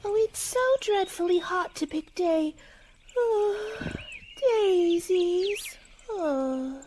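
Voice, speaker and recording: A woman playing a curious, dreamy young girl in an old-time radio play, with wide-eyed, drawn-out delivery. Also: very high voice, animated, noisy background, exaggerated voice. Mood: bored, languid.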